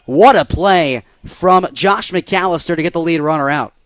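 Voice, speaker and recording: A man's voice: on the high side, normal speed, clear, resonant tone, dry recording. A young American man doing live radio play-by-play of a baseball game as the announcer.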